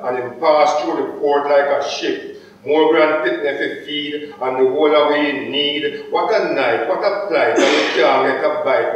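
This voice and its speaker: A man's voice: fairly high pitch, natural voice. A man reciting performance poetry as an anguished, incredulous poor Jamaican, rhythmic and dramatic, in a Jamaican accent. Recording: very echoey hall, distant muffled mic.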